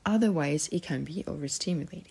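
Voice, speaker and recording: A woman from Serbia talks alone into a podcast microphone. Her voice sits low, and she rambles and corrects herself often, full of fillers. The tone is casual and conversational. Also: quick pace, vocal fry, a little mellow, husky. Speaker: podcast host. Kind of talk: casual solo podcast talk.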